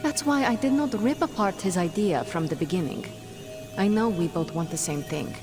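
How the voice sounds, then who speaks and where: A woman's voice: on the low side, animated, moderate pace. A woman playing a stern, protective warrior in a video game cutscene, speaking bluntly and formally. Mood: candid. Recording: loud game music behind.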